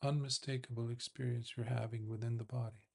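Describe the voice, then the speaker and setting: A man's voice: mid-range voice, flat delivery, vocal fry, darkish tone, husky. Man guiding meditation in short, spaced phrases. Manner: unhurried, measured, with long pauses between short phrases. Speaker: Buddhist monk, meditation teacher. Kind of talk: guided meditation instruction.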